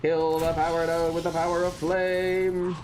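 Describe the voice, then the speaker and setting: A man's voice: on the high side, flat delivery, measured pace, background noise. A man streaming a video game with casual live commentary, in a playful, sarcastic and theatrical manner. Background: video game ambience.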